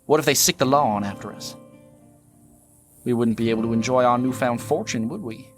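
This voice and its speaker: An actor playing a scheming, bossy American bandit in an audio drama, with an impatient folksy frontier drawl. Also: male voice, mid-range voice, fairly quick, soft background music, close to an everyday voice. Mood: confident scheming. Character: scheming, bossy, dim-witted bandit brother, male.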